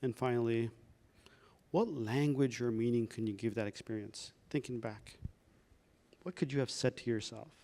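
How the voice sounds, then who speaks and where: Man, workshop leader, gravelly, mid pitch, calm questions, guided exercise. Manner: slow, calm prompting questions. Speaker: palliative medicine doctor leading a workshop.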